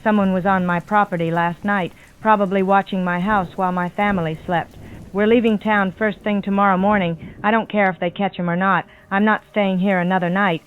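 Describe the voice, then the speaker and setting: A woman's voice: medium pitch, steady tone, fairly quick, clean tone. A woman playing an unnerved, insistent eyewitness calling a radio show over a telephone line. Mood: anxious, resolute. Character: unnerved married woman, watchful eyewitness, resolved to flee. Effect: telephone line filter. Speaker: radio phone-in caller. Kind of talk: radio horror drama. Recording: tinny narrow phone line.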